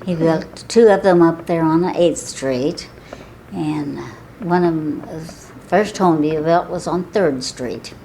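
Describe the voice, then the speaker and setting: A woman's voice: deep voice, slow, dark tone, a little hiss or hum, poor audio. A woman in her eighties with a Southern Illinois accent, chatting and laughing as she reminisces in an oral history interview. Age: 80s. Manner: chatty, laughs often, with false starts. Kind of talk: casual reminiscing.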